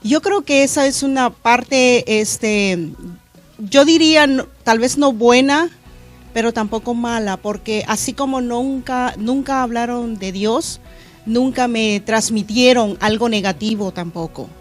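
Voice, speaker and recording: A middle-aged woman pastor from Honduras, reflecting earnestly on her faith in a studio interview, pausing with fillers. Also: fairly high pitch, soft background music. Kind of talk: testimony interview. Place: church media studio.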